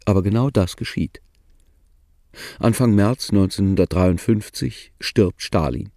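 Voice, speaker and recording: Male narrator for a radio drama: deep, gravelly and dark, sober and wry, recorded dry in the studio. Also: no echo. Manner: measured, sober storytelling with dry irony.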